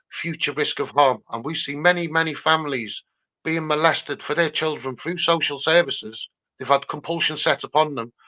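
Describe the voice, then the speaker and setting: Forceful Scouse man, slightly dull recording. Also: on the high side. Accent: Scouse (Liverpool). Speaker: campaigner. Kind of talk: impassioned protest talk.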